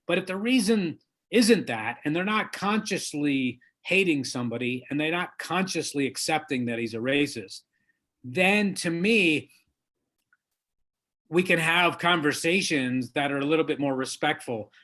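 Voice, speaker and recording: A man talks in a conversational interview, earnest and measured, with firm emphasis when he makes a point. His voice sits fairly high for a man. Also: expressive, sharp tone. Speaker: author, lawyer and diversity trainer.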